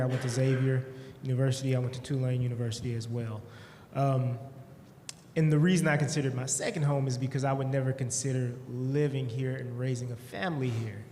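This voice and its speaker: A man from the audience asking a panel a question, measured and deliberate. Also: mid-range voice, darkish tone. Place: conference breakout room. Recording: echoey hall with background noise.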